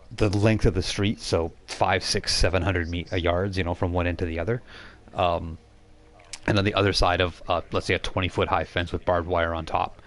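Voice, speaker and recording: Male game master, low register, improvised informal narration, halting explanatory delivery. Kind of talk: improvised narration of a tabletop role-playing game.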